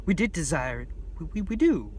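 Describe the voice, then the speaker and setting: A man voicing a fearful, submissive aristocratic wizard in a storybook reading, hoarse and stammering. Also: fairly high pitch, big pitch swings, a little fast, a little mellow, far from the mic, slight background noise, voice pushed up. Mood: fearful, pleading. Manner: nervous, stammering, deferential. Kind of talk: dramatic audiobook character reading.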